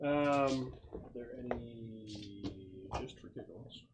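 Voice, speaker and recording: A man acting as game master, casually narrating a tabletop game in a halting, explanatory way. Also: mid-range voice, music in the background. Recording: dull lo-fi recording with clear noise.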